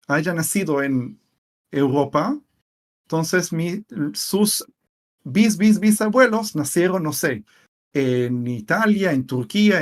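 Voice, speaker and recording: A man from Israel whose first language is Hebrew, giving a personal testimony in an interview, speaking haltingly with many fillers and repeated words. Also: a little high. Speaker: interview guest.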